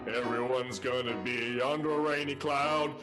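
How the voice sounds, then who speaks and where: A man's voice: mid-range voice, fairly even intonation, moderate pace, music in the background, lightly acted. Man playing a mopey, heartbroken vaudeville comedian. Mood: wistful singing. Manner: mournful, sighing. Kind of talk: improvised radio comedy with singing.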